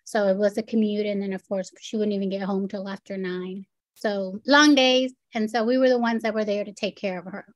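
A woman's voice: mid-range voice, steady tone, brisk, no room sound. A middle-aged woman from Puerto Rico whose first language is Spanish, recounting her experience in an earnest, conversational way with occasional fillers. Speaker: foundation president and executive director. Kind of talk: interview, personal recollection.

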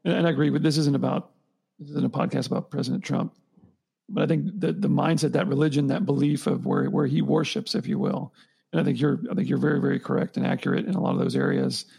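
A man's voice: mid-range voice, fairly even intonation, fast talker, vocal fry, dark tone, breathy edge. A man co-hosting a podcast, thinking aloud in long rambling stretches and often restarting his sentences. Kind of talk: conversational podcast discussion.

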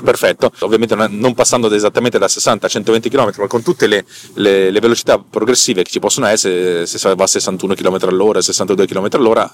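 A man hosting a podcast, explaining technical things casually and off the cuff, often repeating words and correcting himself. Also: lowish voice. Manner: rambling, halting, self-correcting, repeating words. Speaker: podcast host. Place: inside a car.

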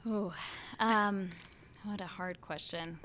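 A young American woman, an actor and singer, chatting as a podcast guest with lots of fillers and animated quotes. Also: medium pitch, slow, slight background noise. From United States. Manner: chatty, frequent "like" and "you know" fillers, animated quoting of others. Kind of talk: casual conversational interview.

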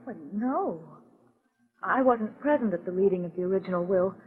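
A woman's voice: medium pitch, expressive, moderate pace, slightly rough. A woman playing a frightened heiress in danger in a 1940s radio drama. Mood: shaken, subdued. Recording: tinny phone-like 1940s radio recording.

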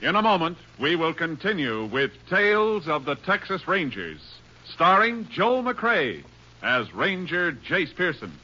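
Male announcer, fairly high pitch, 1950s radio style, muffled sound, heavy noise. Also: big pitch swings, a little slow.

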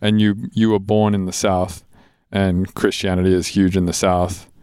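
An American man with a clean, smooth voice on the low side chats casually. His manner is blunt and informal, and the recording is dry. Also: level tone, normal speed, warm tone, dry recording. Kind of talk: casual chat.

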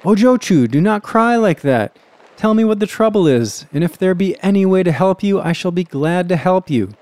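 A man voicing a kind, earnest gentleman in a storybook tale, imploring gently and repeating himself. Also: sits high, expressive, brisk, no echo, pitched above the performer's own voice. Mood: gentle, pleading.

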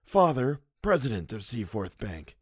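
A man's voice: mid-range voice, very animated, a little slow, slightly rough, no echo, studio quality. A man doing a cold, sinister old blackmailer. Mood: cold reading. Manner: thin, raised and cold.

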